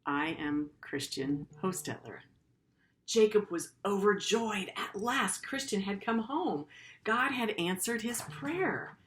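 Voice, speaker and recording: A woman's voice: mid-range voice, lively intonation, slow, slight background noise. A middle-aged woman teacher reading a story aloud to pupils in an earnest way, with simple, informal explanatory asides.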